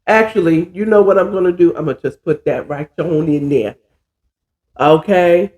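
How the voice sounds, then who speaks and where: A hoarse, stuffy-nosed man hosting a casual chat while cooking, drawling and calling his listeners "child". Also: high-pitched, moderate pace, a little mellow. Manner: chatty, drawling, says "child" a lot. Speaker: podcast host.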